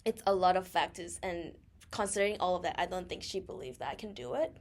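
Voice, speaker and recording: A woman's voice: fairly low pitch, lively intonation, quick pace. A young woman from Thailand, co-hosting a casual podcast and telling her story with many fillers and self-corrections. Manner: frequent fillers and self-corrections, laughs easily. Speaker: podcast co-host.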